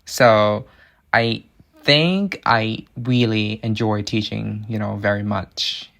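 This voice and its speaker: Gravelly-voiced young man, earnest. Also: fairly low pitch, slow pace, a bit bright. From Thailand. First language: Thai. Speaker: international student and part-time English teacher. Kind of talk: casual personal monologue to camera.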